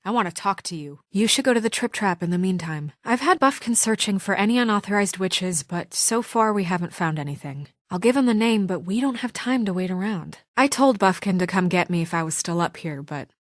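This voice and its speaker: A woman acting as a young fairy-tale administrator in a video game, all business. She is matter-of-fact and pressing, with a natural mid-pitched voice. The sound is dry and close, with no room around it. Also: lively intonation, brisk, few pauses, no echo, natural voice. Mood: businesslike, urgent.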